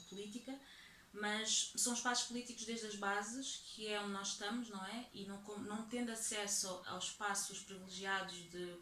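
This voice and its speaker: A young woman with a European Portuguese accent answers interview questions in a reflective, measured way. Her pitch stays fairly level, and she often adds short tag questions. The room is echoey, with a faint ambient hiss behind her. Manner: thoughtful, steady, with frequent tag questions. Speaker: poet and activist interviewee.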